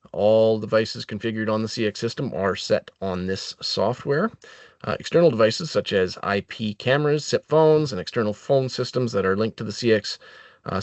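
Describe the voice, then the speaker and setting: A man giving an explanatory product training presentation as a webinar presenter, with halting phrasing and frequent filled pauses. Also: lowish voice, dry recording.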